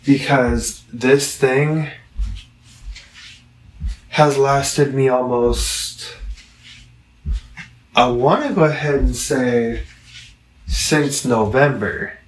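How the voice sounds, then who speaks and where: Casual male vlogger, unhurried and rambling review delivery with halting self-corrections, recorded roomy and distant from the mic. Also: mid-range voice. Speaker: amateur product reviewer.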